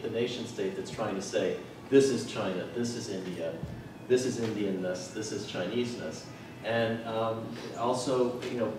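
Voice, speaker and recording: Middle-aged male panelist, measured and hesitant, distant roomy sound with audible noise. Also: fairly low pitch. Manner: measured, with frequent ums. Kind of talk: academic panel comment. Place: lecture room, seated at a table. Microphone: table microphone. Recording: echoey room, distant mic, noise.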